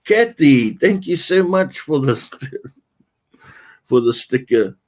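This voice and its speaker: Slow-talking New man hosting. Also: fairly high pitch, lively intonation, a little mellow. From New Zealand. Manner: answers viewer comments one by one, halting and unhurried. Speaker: livestream host. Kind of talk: casual livestream chat.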